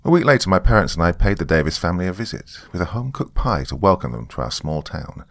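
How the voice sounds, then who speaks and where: A man's voice: low voice, sing-song, fast talker, fairly bright tone, dry recording. A man narrating a story in the first person.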